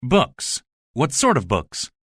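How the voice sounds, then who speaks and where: Man playing worried male survivor, earnest, incredulous, sharp bright tone, dry close recording, story dramatization. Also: mid-range voice, wildly swooping pitch, takes it slowly, breathy edge, no echo, full character voice. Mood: shocked, questioning. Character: anxious, questioning male survivor, earnest and easily alarmed. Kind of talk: dramatized audiobook dialogue.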